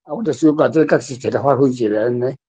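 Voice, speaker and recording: A man's voice: mid-range voice, rapid-fire, dark tone, slightly rough, soft background music. An older man with a Taiwanese accent chatting casually about a story as its author, rambling through anecdotes and repeating phrases. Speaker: author guest discussing their story. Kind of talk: casual literary discussion.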